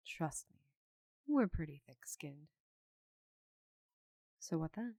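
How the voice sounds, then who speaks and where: Woman voicing a slow, husky giant orc knight lady. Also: deep voice, sing-song, dry recording, clean studio sound, heavily acted. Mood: encouraging, confident. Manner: slow, soft, intimate delivery with breathy murmurs. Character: giant female orc knight, teasing, confident, tenderly flustered. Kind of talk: intimate ASMR roleplay.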